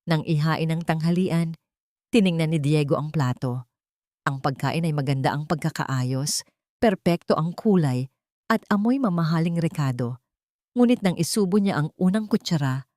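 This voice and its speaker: A female narrator tells a scripted dramatic story. Her pitch is a little low for a woman. The recording is dry, with no room sound. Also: normal speed, darkish tone, no echo.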